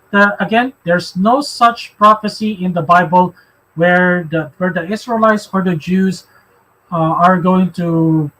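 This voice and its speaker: A man with a Filipino accent and a high-pitched voice leads a live religious discussion. He talks haltingly, circling back over his phrases as he explains and takes questions. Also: pushed and bright, rough recording. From Philippines. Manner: halting, repeats phrases as he goes.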